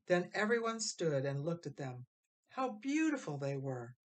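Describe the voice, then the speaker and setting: A woman reading a children's story aloud as expressive narration, dramatic, with drawn-out emphasis on key phrases. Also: fairly low pitch. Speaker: storybook reader.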